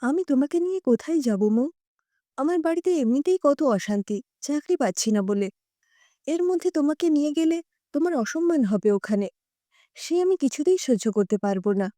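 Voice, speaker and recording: A woman's voice: high voice, animated, normal speed, mellow, dry recording, studio quality. A woman voicing a despairing, heartbroken young man in an audio story, pleading and anguished. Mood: pleading, helpless.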